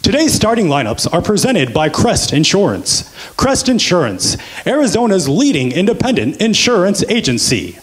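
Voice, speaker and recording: High-pitched, swooping young American arena announcer man. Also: sing-song. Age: 20s. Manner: booming showman delivery with drawn-out names. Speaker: public address announcer auditioning. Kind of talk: arena sports announcing from a script. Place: small indoor room. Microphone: handheld microphone.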